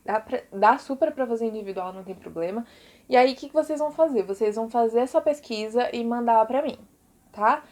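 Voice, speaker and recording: A woman's voice: fairly high pitch, brisk, darkish tone. A Brazilian woman teacher giving an informal recorded lesson to pupils, chatty and explanatory, often adding little check-in tags.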